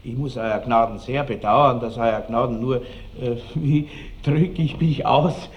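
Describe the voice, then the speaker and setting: An actor playing a boastful, lecherous country baron with an Austrian accent and pompous, relishing delivery in a radio drama. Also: male voice, medium pitch, measured pace, highs cut off, close to an everyday voice. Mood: swaggering. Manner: pompous, rambling, relishing every word. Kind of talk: classic radio drama acting. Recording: noisy old 1960s radio drama recording.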